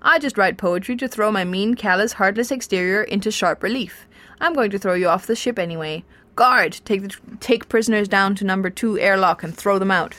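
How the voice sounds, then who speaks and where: A woman narrator acts out a heartless alien commander from a comic science fiction storybook. He is male, grim and scornful. His delivery is deliberate and coldly menacing. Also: mid-range voice, fairly bright tone, close to an everyday voice. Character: embittered, callous alien captain, male, grim and contemptuous.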